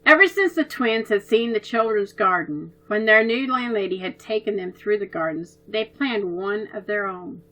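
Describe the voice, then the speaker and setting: A woman reading a children's story aloud in an expressive, gentle way. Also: mid-range voice, moderate pace, hardly any pauses, low-quality recording.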